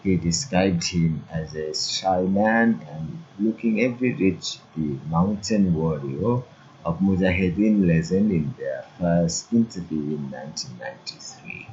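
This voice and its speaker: A man narrating a scripted news report in a steady read-aloud delivery. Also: low voice, unhurried, slight background noise. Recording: dull lo-fi audio.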